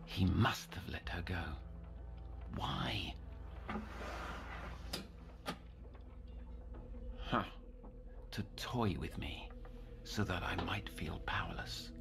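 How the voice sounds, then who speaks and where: Brief: brooding psychiatric counsellor for audio drama; low, formal, very expressive range, whispery asides, scheming intensity. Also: male voice, gravelly, music in the background, heavily acted. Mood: brooding, obsessed. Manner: formal, measured diction, muttering into whispers. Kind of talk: audio drama monologue and dialogue.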